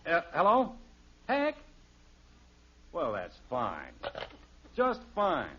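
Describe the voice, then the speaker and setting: A man's voice: fairly high pitch, wildly swooping pitch, very slow, exaggerated voice. An actor playing a grumbling, stubborn middle-aged American father, wry and exasperated, in a 1950s radio sitcom. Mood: pleading, exasperated. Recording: muffled, faintly noisy old radio recording.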